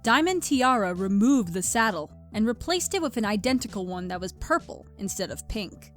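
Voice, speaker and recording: A woman narrates a scripted story in a medium-pitched voice. She reads as a storybook narrator, with music playing quietly underneath. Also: lively intonation, normal speed.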